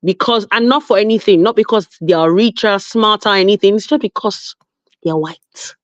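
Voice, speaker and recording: A woman's voice: mid-range voice, normal speed, no room sound. A woman from Nigeria with a Nigerian accent, telling stories emphatically in a casual online chat. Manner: animated, emphatic storytelling with frequent restarts. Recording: dull lo-fi audio.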